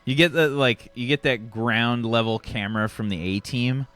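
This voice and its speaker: A man casually narrating a tabletop game as its game master, animated and sweary. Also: medium pitch, moderate pace. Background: background music.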